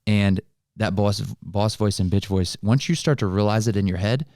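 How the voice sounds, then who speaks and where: A man's voice: lowish voice, normal speed, darkish tone, dry recording, clean studio sound. A man co-hosting a podcast, explaining things in a casual, motivational conversational style. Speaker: podcast host.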